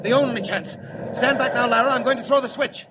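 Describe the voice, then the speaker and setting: Grave alien scientist, high urgent voice. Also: male voice, fairly even intonation, fairly quick. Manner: declamatory, clipped urgent phrases. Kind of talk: 1940s radio acting. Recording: very noisy vintage 1940s radio recording.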